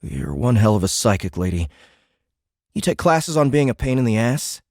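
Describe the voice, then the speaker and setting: An indignant American teenager for an audiobook drama. His delivery swings widely in pitch, full of sarcasm and slangy exasperation. The sound is dry and close. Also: sing-song, normal speed, a little mellow, no echo. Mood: angry, mocking.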